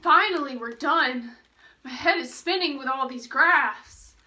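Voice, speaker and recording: A woman puts on a dramatic frog prince for a puppet show. The voice is high and bright, whining and reluctant, with a vain streak. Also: measured pace, heavily acted. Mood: weary, confused. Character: whiny, vain frog prince desperate to be human.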